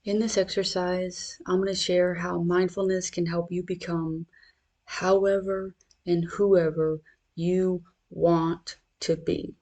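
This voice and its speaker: A meditation guide speaking in a measured, reassuring, instructional manner. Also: medium pitch, level tone, darkish tone.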